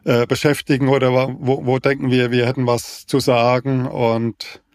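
A man in his fifties, a naturopath co-hosting a podcast, explaining in a measured, even way with frequent fillers. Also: mid-range voice, dry recording, studio quality. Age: 50s. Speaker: naturopath and co-host. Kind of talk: casual podcast conversation.

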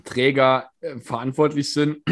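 A hoarse man co-hosting a casual political podcast, talking things through with many fillers and restarts, recorded remotely. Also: mid-range voice, takes it slowly, fairly bright tone. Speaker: podcast co-host, state politician.

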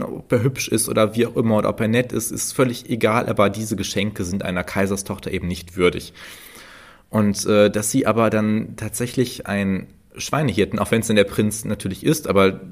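Guy with a lowish voice chatting about fairy tales, halting, thinking out loud. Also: fairly even intonation. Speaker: podcast discussion partner. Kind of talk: casual discussion of fairy tales.

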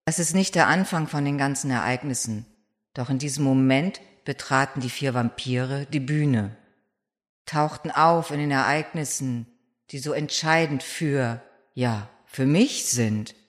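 An actor voicing a brooding, haunted vampire who confesses their story in a halting, dramatic monologue for an audio drama. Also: mid-range voice, lightly acted.

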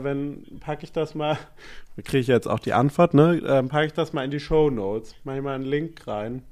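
A young man co-hosting a casual podcast, talking in a rambling way with many fillers and restarts. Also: medium pitch. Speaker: podcast co-host. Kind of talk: casual chat.

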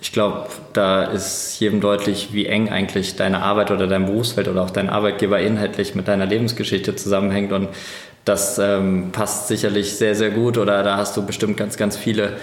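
A man's voice: lowish voice, flat delivery, fairly quick, reverberant. A man hosting an interview, asking long, winding questions full of fillers. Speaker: podcast host.

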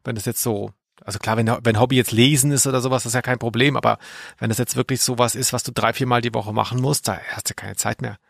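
A man's voice: medium pitch, steady tone, fast, vocal fry, no room sound, clean studio sound. A middle-aged man co-hosting a casual podcast, matter-of-fact and colloquial, often tagging his points with short questions. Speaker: podcast co-host. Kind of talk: casual chat.

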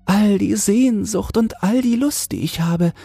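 A little female toad from a storybook, acted out by a man speaks far up in a high, husky voice. She is naive and dreamy, exclaiming everything with wonder and longing. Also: unhurried, warm tone, no echo, soft background music, heavily acted. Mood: elated longing. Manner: exclamatory, full of wonder and yearning. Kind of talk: storybook character voice.

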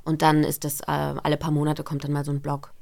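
A woman's voice: low-pitched, monotone, fairly quick, creaky, darkish tone. A woman voice actress guest, talking openly and easily about her recording work in a studio interview. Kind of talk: casual interview chat.

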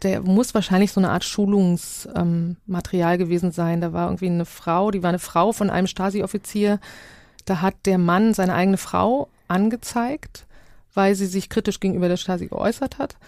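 A woman's voice: lowish voice, steady tone, normal speed, a little mellow, no echo, clean studio sound. A woman giving an informal, spontaneous first-person account as a discussion guest, thinking aloud as she searches for words. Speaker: guest, author of an audio documentary project.

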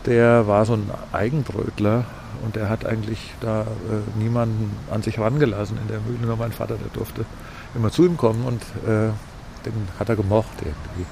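A man's voice: fairly low pitch, fairly even intonation, normal speed, creaky. A middle-aged man from Hesse in Germany, acting as a local guide and explaining things in a casual storytelling way. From Lauterbach, Hesse, Germany. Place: outdoors on a walk through town. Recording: noisy outdoor recording, traffic ambience.

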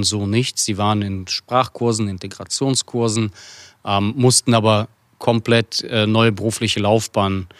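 A middle-aged man with a fairly low voice, recorded dry and close. He answers interview questions fluently, recalling personal experience. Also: a bit bright, no echo. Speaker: interview guest.

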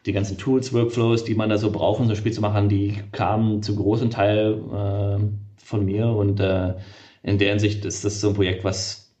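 Low-voiced man, halting and thoughtful. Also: level tone, warm tone, dull audio. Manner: hesitant, many fillers and restarts. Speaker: game developer, interview guest. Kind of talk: casual conversational interview.

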